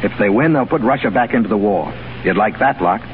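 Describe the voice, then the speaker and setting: An actor playing a brisk, jovial conspirator in a 1930s radio drama. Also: male voice, fairly low pitch, lively intonation, natural voice. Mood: urgent, conspiratorial. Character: brisk, jovial, conspiratorial foreigner in Moscow, male. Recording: tinny, hissy 1930s radio broadcast.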